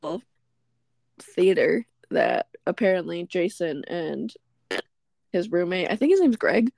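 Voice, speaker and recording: A woman's voice: lowish voice, animated, takes it slowly, creaky, a little mellow, dry recording, studio quality. A woman co-hosting a podcast, casually recapping a film scene by scene and laughing often as she goes. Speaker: podcast co-host. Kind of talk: casual movie recap chat. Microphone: remote call.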